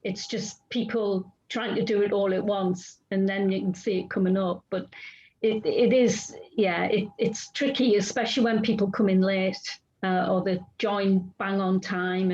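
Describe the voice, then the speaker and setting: A woman's voice: mid-range voice, steady tone, a little slow, darkish tone. A woman talking casually in an online club meeting, explaining how the meeting should run, often restarting her sentences. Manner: rambling, self-correcting, explaining. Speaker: club member. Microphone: video call. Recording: dull lo-fi video call audio.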